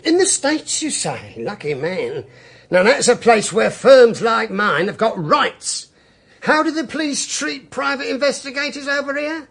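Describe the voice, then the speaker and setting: An obsequious, greedy private eye for radio drama. The voice is high and husky, swooping about in pitch. He is cagey and hesitant, always ingratiating. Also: animated, a little slow, a bit bright, full character voice. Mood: oily, ingratiating.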